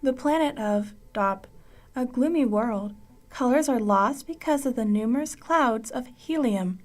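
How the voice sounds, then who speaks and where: A spaceship computer voiced by a woman in a comic sci-fi radio play. The voice is fairly high, impassive and clipped, reciting data in a measured, matter-of-fact way. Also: lively intonation.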